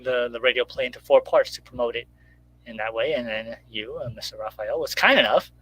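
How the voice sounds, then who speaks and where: A middle-aged man chatting casually on a video call, explaining things in a halting way. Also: medium pitch, bright, forceful tone. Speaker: guest writer and radio show host. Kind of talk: casual chat. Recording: clearly noisy line with hum.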